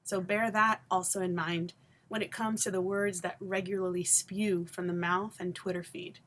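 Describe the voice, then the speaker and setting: A woman from Haiti, likely in her forties, delivering a scripted personal essay to camera in a measured, emphatic, pointed way with deliberate pauses. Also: fairly low pitch, level tone, fairly bright tone. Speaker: vlogger and education scholar.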